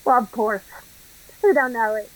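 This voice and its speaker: A woman's voice: fairly high pitch, fairly even intonation, normal speed, natural voice, low-quality recording. A woman playing a smug, scheming Southern crime boss in a radio play, with an effusive, mock-genteel drawl. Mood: sly, persuasive.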